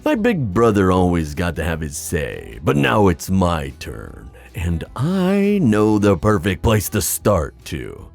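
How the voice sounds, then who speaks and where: A man playing a playful, scheming sea god in an ASMR fantasy roleplay, theatrical and teasing, musing aloud in drawn-out phrases. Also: low-pitched, huge pitch swings, vocal fry, soft background music, full character voice. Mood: sly, conspiratorial.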